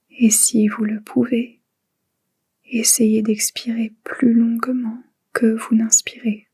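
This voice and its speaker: Very slow, breathy woman guiding meditation. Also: on the high side. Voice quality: breathy, whispery. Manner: soothing, hushed, spaced short phrases. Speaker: guided meditation instructor. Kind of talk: guided relaxation meditation, soft and unhurried.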